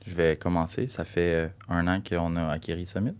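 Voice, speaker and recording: A young man with a Québécois accent speaks with a very deep voice in a relaxed podcast chat. He is blunt and jokey, and drops casual swear words. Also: fairly quick, clean tone. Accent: Québécois French. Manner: blunt, joking, swears freely. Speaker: podcast co-host, coaching business owner.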